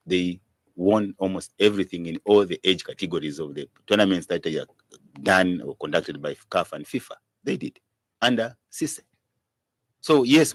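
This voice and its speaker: A man's voice: low voice, dry recording. A man with a Zambian accent giving opinionated, spontaneous interview answers, stressing points by repeating himself. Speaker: football commentator being interviewed.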